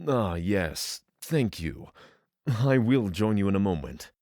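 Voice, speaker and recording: A man's voice: lowish voice, animated, a little mellow, dry recording, studio quality. A man playing an earnest, cautious, reflective spiritual pilgrim in a fantasy audio drama, formal and hesitant. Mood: thoughtful. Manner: formal, hesitant, thoughtful pauses.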